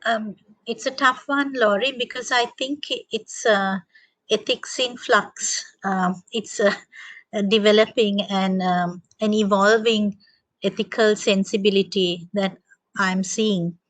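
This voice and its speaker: A woman's voice: medium pitch, steady tone, unhurried, no echo, rough recording. A woman presenter on a video call panel, answering thoughtfully with frequent hesitations and ums.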